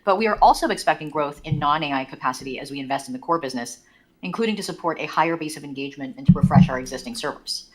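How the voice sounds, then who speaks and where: A woman's voice: deep voice, rapid-fire, poor audio. A woman executive reading a formal scripted presentation on an earnings call. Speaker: company executive.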